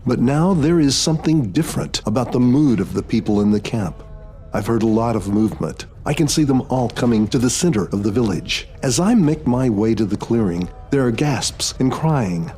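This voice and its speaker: A man reading his own novel as a scripted audiobook, dramatic and solemn in a deliberate storytelling manner. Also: mid-range voice, warm tone, slightly rough.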